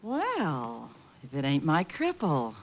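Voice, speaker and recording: Lively, flirty radio dame, swooping voice. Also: female voice, low-pitched, takes it slowly, exaggerated voice. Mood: breezy, gossipy. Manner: flirtatious, breezy, colloquial. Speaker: actress in a radio crime drama. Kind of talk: old-time radio acting. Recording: muffled old radio recording.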